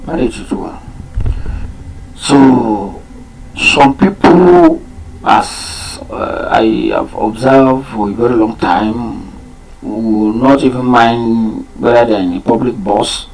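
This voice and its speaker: A man whose first language is Yoruba, giving an unscripted talk on a program, pausing often with fillers and repeating his key points. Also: medium pitch, animated, slight background noise, low-quality recording. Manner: halting, many fillers and restarts. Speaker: program presenter.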